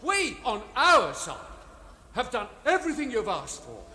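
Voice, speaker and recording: A man's voice: very high voice, wildly swooping pitch, a little slow, pushed and bright, slight background noise, heavily acted. An actor playing an indignant, confrontational male protestor with an English accent in an audio drama. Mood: angry. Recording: echoey hall.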